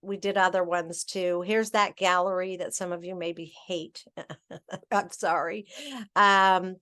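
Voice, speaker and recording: A woman's voice: fairly low pitch, little pitch movement, slow pace, a bit bright, clean tone. A middle-aged woman giving a training presentation over slides, chatty, with many fillers and self-corrections.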